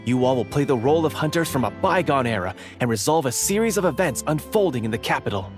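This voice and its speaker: An actor playing a courteous script manager in a video game, polite and articulate with a theatrical storyteller's flair. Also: male voice, medium pitch, expressive, fast talker, close to an everyday voice. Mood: polite, explanatory. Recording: music behind the voice.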